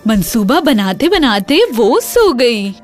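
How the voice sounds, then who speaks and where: High, animated woman storyteller over soft music. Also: slow. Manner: expressive, dramatic storytelling. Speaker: storyteller, narrator. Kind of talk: scripted children's fairy-tale narration.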